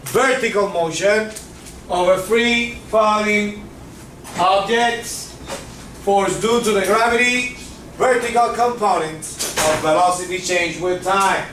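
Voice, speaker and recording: Physics teacher, male, Cuban accent, high voice, heard from far off in an echoey classroom. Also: slow pace, a bit bright, a little hiss or hum. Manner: checks in with students often. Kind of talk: classroom lecture.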